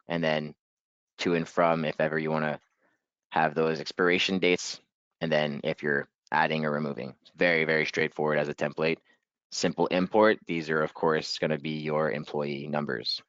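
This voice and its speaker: A man leading a software training webinar in a casual, upbeat way, a little hoarse and clearing his throat. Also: rumbling low, no room sound, muffled recording. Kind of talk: webinar screen-share walkthrough.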